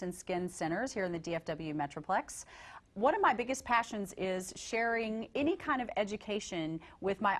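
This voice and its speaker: A woman's voice: medium pitch, fairly bright tone. A middle-aged woman hosting a wellness TV show, introducing and interviewing her guest in an upbeat, encouraging way. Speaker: TV host and nurse practitioner.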